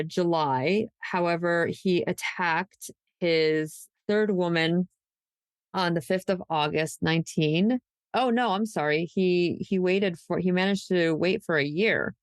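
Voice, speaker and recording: Low-pitched woman chatting through true crime. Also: clean tone, no room sound, clean studio sound. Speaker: podcast co-host. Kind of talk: conversational true crime storytelling.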